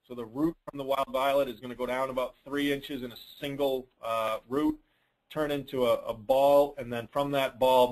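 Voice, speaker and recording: A man's voice: mid-range voice, low-quality recording. A man coaching informally on a call, answering questions in a plain-spoken, advisory way with emphatic points. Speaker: lawn care business coach hosting a dealer call.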